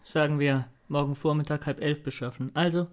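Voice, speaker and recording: An actor playing a fussy, courteous, shady dealer with a formal, over-polite manner in a German radio crime drama. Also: male voice, fairly high pitch, fairly even intonation, fairly quick, lightly acted. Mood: polite, guarded.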